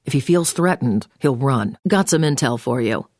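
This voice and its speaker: An actress playing a brisk, commanding intelligence agent in a video game, giving mission orders in a businesslike, clipped way. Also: female voice, extremely low pitch, lively intonation, darkish tone, no room sound, clean studio sound. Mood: businesslike, urgent. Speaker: mission handler over the phone. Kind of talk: scripted video game voice acting.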